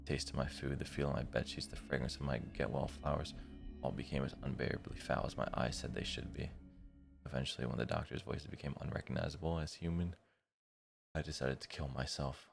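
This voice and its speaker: A man reading a visual novel's inner monologue as a tormented, bitter young man, in a very deep, gravelly voice with a measured literary delivery. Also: flat delivery, faint music behind, heavily acted. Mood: grim. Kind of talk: read-aloud visual novel narration.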